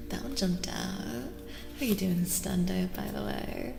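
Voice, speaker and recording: A woman speaking softly and gently in a breathy voice that often drops to a whisper, repeating soothing phrases as she chats with viewers on an ASMR tarot stream. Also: mid-range voice, measured pace, over music. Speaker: streamer giving tarot card readings. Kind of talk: soft-spoken ASMR live stream, chatting with viewers. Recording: roomy reverb, clear background noise.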